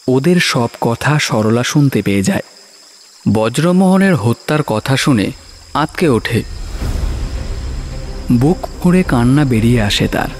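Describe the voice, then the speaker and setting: A man narrating a horror audio story in a grave, dramatic, suspenseful style. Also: mid-range voice. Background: music.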